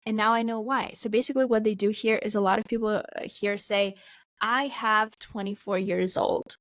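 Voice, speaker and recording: A woman's voice: medium pitch, steady tone, dry recording. Chatty woman, dry close sound. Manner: chatty, fast, peppered with "like". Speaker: podcast co-host. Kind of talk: casual chat.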